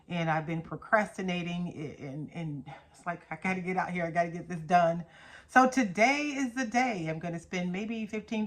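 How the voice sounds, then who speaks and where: A middle-aged woman hosting a faith teaching channel, talking casually to camera with an earnest, emphatic manner that leans toward preaching. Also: on the low side. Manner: earnest, emphatic, with rhetorical questions and repetition.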